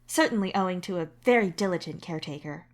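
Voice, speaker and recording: Kindly alien doctor played by a woman, measured, professional, gently cautioning audio-story character. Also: on the low side, animated.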